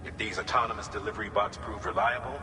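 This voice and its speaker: An actor playing a steady, authoritative commander who briefs a courier in a measured, businesslike way in a game. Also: male voice, fairly low pitch, over music, close to an everyday voice. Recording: long echo with clear background noise.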